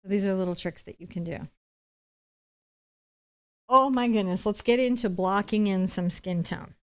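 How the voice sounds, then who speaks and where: Clear-voiced woman teaching painting. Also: medium pitch, moderate pace. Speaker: painting instructor, host. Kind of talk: step-by-step live painting lesson, conversational.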